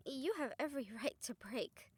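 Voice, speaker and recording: A tired vampire huntress, acted by a woman with a fairly high voice. She speaks softly and earnestly, often hesitating, as if confiding in a trusted friend in an intimate audio drama. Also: moderate pace, husky. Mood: earnest, admiring. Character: weary, apologetic female vampire hunter confiding in a friend. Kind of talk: intimate audio roleplay to the listener.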